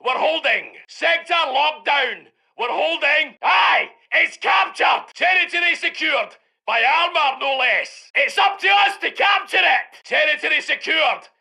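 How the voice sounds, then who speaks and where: An actor playing a gruff British tank commander in a war video game, barking short military orders in a forceful, gravelly voice. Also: male voice, extremely high pitch, expressive, unhurried, exaggerated voice. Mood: brisk, commanding. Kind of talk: shouted military game barks.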